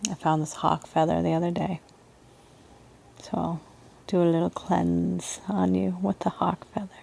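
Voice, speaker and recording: A woman leading a guided meditation as a yoga teacher, speaking in a soothing, gentle manner with unhurried pauses. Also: lowish voice, monotone, vocal fry, a little hiss or hum, rough recording.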